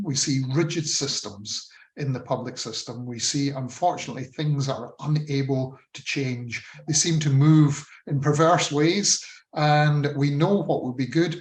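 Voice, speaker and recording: A man with a Scottish accent presenting slides on a video call, measured and with frequent ums and uhs. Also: a little high, roomy sound. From Scotland. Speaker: presenter on a funding model. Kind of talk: talk with screen-shared slides.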